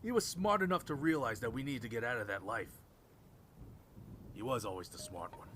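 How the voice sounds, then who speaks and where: A man playing a bitter, desperate young man on the run in an audio drama, talking roughly and swearing. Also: mid-range voice, lively intonation, brisk, pushed and bright, a little hiss or hum. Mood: bitter, dejected. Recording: music behind the voice.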